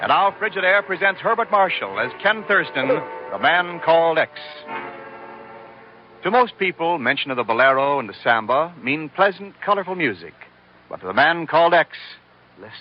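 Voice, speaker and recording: High-ish male old-time radio announcer. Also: very animated, moderate pace. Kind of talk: dramatic scripted 1940s radio announcing. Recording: dull old radio recording with music.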